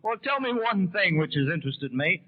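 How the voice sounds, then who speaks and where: A man's voice: high-pitched, sing-song, moderate pace. An American man conducting live 1930s radio news interviews with formal, courteous questions. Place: convention hall broadcast room. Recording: tinny narrowband 1930s radio broadcast.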